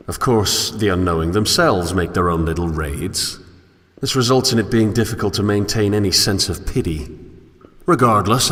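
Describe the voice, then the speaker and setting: A man's voice: low voice, fairly bright tone. A man playing a solitary, brooding wanderer who muses in formal, eloquent language in a scripted game monologue. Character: solitary, brooding male wanderer musing philosophically on a fallen world. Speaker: narrator. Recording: long hall reverb, music underneath. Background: music.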